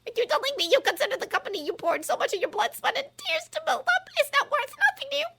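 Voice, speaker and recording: Super high shrieky pompous witch official, loud and swinging all over. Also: expressive, quick pace, vocal fry, heavily acted. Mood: shrill pleading. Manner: shrieking, pleading then screaming. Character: shrill pompous middle-aged witch official.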